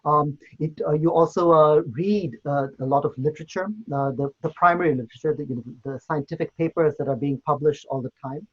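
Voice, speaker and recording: A man's voice: on the high side, fairly quick, few pauses, lo-fi sound. A middle-aged man, a medical tutor, giving a hesitant open day talk full of fillers. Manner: hesitant, many fillers and restarts. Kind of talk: virtual open day presentation.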